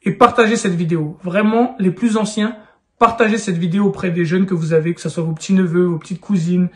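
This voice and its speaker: A man's voice: high-pitched, steady tone, brisk. A man in his thirties giving casual, urgent real estate advice straight to the viewer in a vlog. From France. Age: 30s. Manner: urgent, persuasive, addresses viewer informally. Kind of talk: direct-to-camera advice vlog.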